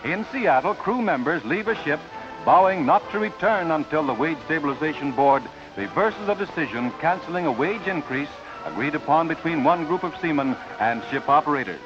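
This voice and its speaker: American male newsreel narrator, 1940s, slightly high voice, declamatory, muffled old soundtrack. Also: expressive, moderate pace. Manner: formal, declamatory announcer delivery. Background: music.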